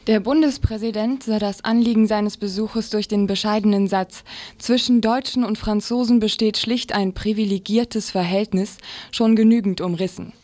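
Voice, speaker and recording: A woman's voice: medium pitch, fairly even intonation, moderate pace, darkish tone, dry recording. A woman reading a scripted news commentary as a radio presenter.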